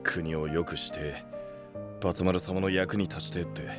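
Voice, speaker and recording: Man acting deep-voiced, gruff young knight. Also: steady tone, normal speed, over music, natural voice. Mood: remorseful, subdued. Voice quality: deep, heavy voice. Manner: rough masculine speech. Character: gruff young male knight, earnest and self-reproaching. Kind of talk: anime-style voice drama acting.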